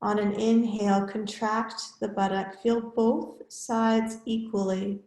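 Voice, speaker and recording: Woman yoga teacher doing guided relaxation, really slow n measured, short phrases w pauses, echoey room sound. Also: mid-range voice, little pitch movement, a little mellow.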